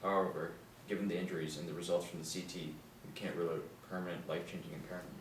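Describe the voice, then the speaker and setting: A man reading a brisk, low-voiced male doctor. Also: little pitch movement. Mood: grave. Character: urgent commanding hospital doctor. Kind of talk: table read of a screenplay. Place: small indoor room. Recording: roomy distant mic with background hiss.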